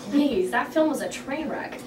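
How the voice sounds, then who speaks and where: A woman's voice: sits high, lively intonation, unhurried. A woman reading a polite, self-deprecating costumer in a script table read. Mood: polite, modest. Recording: echoey room, distant mic, clear noise.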